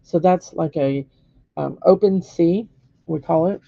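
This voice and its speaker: A hoarse, gravelly woman walks viewers through brush strokes in a patient, step-by-step way. Her voice is dark and mellow, recorded dry and a little dull, as on a live stream. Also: on the low side, a little slow, no echo. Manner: patient step-by-step instruction with frequent "okay?" checks. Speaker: painting teacher. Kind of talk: live-streamed painting demonstration.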